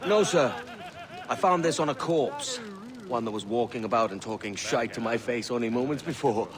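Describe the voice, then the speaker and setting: A man's voice: medium pitch, expressive, moderate pace, crowd noise, lightly acted. A man acting a cocky, sardonic young pirate captain in a game cutscene, speaking in a wry, teasing way. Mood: sardonic, probing. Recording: loud outdoor ambience behind.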